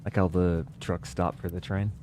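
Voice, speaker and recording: A man giving casual, musing commentary while playing a building game, thinking aloud with relaxed asides. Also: deep voice, normal speed, over music. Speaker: gaming video creator.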